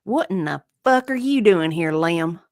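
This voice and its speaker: Justine, a brash, animated spitfire played by a woman. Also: fairly low pitch, unhurried, dry recording, clean studio sound. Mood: hostile, indignant. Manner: brash, sharp, sarcastic. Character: Justine, sharp-tongued, exasperated, sassy female kitchen partner.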